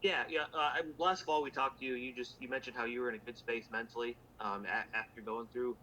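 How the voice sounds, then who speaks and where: A man's voice: mid-range voice, fairly even intonation, brisk, bright, forceful tone, a little hiss or hum, low-quality recording. A male sports reporter asking long, looping questions at a press conference.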